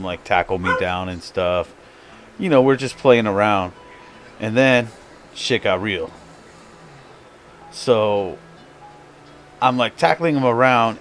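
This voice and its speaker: American dude streaming, telling a sweary story real casual, some noise behind him. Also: male voice, fairly low pitch. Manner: crude, swears freely. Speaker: video host and streamer. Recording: clearly noisy background.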